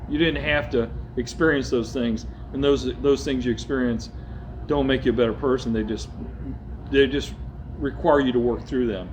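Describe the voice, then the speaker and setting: A man of middle pitch interviewing an older guest, speaking earnestly and often repeating his words as he finds them. A faint hiss runs under the recording. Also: steady tone, moderate pace, low-quality recording. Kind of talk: interview conversation.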